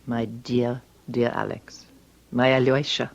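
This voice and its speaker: A woman's voice: rumbling low, level tone, slow, lo-fi sound, full character voice. A woman acting in an old radio drama as a frightened, theatrical young ballerina, pleading. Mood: wistful. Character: frightened, melodramatic young Russian ballerina who defected.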